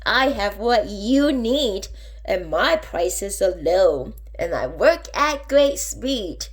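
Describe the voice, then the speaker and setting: A woman reading a storybook, voicing a slick, upbeat male travelling salesman with a persuasive showman's pitch. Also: very animated, slow pace, slightly rough, exaggerated voice. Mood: confident, pitching.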